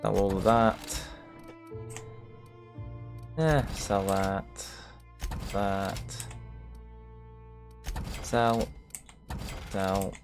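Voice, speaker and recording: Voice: low-pitched, little pitch movement, drawn-out words, long pauses, over music. A video game streamer giving casual, sluggish commentary, muttering to themselves with playful mock-formal turns of phrase. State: sluggish, not fully awake.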